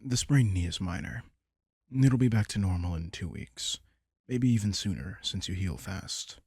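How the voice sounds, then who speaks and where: A man playing a caring, wry vampire king in an intimate comfort roleplay audio drama, talking casually and softly with dry teasing. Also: low-pitched, lively intonation, moderate pace, breathy edge, no room sound, full character voice. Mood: gentle, sympathetic.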